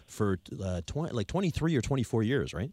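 A man's voice: medium pitch, big pitch swings, brisk, warm tone, dry recording. A man hosting a radio home show, upbeat and chatty, often jumping in with comments.

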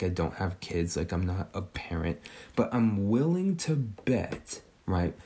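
A man's voice: fairly low pitch, dark tone. A man in his twenties hosting a podcast, musing casually and tagging almost every sentence with "right?". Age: 20s. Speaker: podcast host. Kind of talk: casual speculative monologue.

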